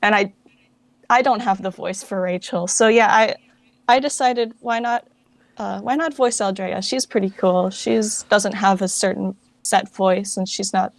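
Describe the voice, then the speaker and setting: A woman's voice: medium pitch, steady tone, a little slow, creaky, dry recording. Woman chatting informally, dry close sound. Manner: informal, rambling, self-correcting. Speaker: interview guest, fan project founder. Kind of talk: casual chat.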